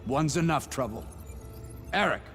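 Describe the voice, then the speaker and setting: Imperious male villain leader, slow, lowish, dismissive, pompous, in long hall reverb. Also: animated, a bit bright, soft background music. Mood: cold disdain. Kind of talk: cinematic video game acting.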